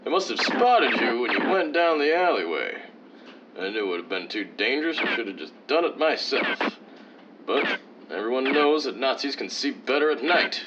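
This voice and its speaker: A man's voice: mid-range voice, big pitch swings, normal speed, lightly acted. Man acting a swooping, boastful radio war hero. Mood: confident. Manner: grandiose, declamatory, reassuring. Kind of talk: 1940s old-time radio serial acting. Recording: muffled, noisy old-time radio recording.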